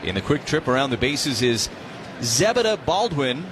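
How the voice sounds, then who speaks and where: Male baseball announcer over clear background noise. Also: mid-range voice, moderate pace. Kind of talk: live radio sports commentary. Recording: steady ballpark noise behind voice. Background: outdoor stadium ambience.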